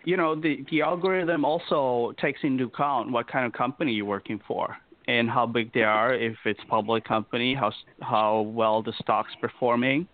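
A man's voice: medium pitch, fairly even intonation, moderate pace, hardly any pauses. A man, a company co-founder, answering interview questions, explaining patiently with many fillers. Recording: dry, tinny phone-line audio.

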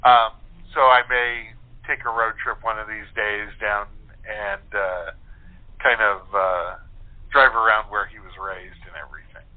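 An American man chatting as an interview guest, speaking in a hesitant way with pauses to find his words. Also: lowish voice, steady tone. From United States. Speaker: guest, playwright and author. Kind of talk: casual interview chat. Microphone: telephone. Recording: tinny narrow phone line.

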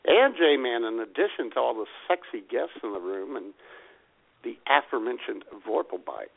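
An American man bantering casually as a talk show co-host, gravelly and wry. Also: medium pitch, expressive, normal speed. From United States. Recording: thin phone-like radio audio.

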